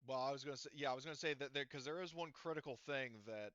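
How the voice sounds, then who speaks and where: A man running a tabletop roleplaying game as game master, talking in a rambling, halting way full of fillers. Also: mid-range voice, fairly bright tone, no room sound. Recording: dull, lo-fi.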